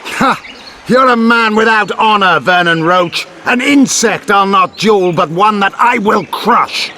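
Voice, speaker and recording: An actor playing a proud, bitter elf rebel commander in a video game cutscene, speaking with theatrical, deliberate disdain. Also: male voice, high-pitched, bright, forceful tone, slight background noise. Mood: scornful.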